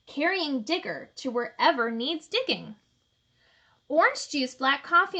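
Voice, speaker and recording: A woman hosting a children's storytime, reading aloud expressively with chatty, encouraging asides. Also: high-pitched. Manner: expressive, encouraging, asks the listeners questions. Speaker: storytime host reading picture books to children.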